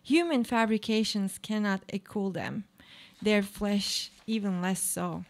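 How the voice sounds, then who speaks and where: A woman acting a weary, guarded woman in a radio play, speaking literary monologue in a deliberate, declamatory way. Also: medium pitch, lightly acted. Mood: quietly content.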